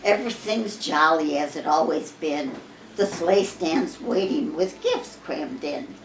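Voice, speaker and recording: Elderly American woman reading slowly, lively pitch. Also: slight background noise. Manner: measured, expressive storybook reading with occasional stumbles. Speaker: grandmother reading to family. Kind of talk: reading a Christmas poem aloud. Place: living room at home. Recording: roomy home recording with music behind.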